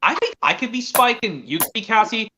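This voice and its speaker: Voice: mid-range voice, expressive, sharp tone. A stream host chatting casually on a video call, often stopping and restarting sentences. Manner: halting, many restarts.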